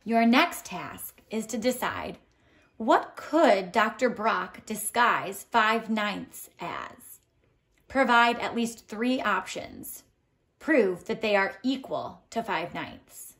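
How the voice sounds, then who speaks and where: A woman reads a story aloud for children in a medium-pitched voice. Her delivery is deliberate and expressive, with emphasis on key words, in the manner of a teacher giving a lesson. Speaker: teacher reading a picture book aloud. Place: indoors at home, tiled floor.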